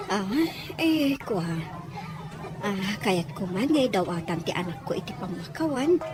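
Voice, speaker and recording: A woman's voice: medium pitch, very animated, measured pace. Woman acting an apologetic Filipina mother, noisy ambience. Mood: ashamed, subdued. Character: ashamed, apologetic mother of a troubled child. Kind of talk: audio drama acting. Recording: noisy, with crowd ambience behind.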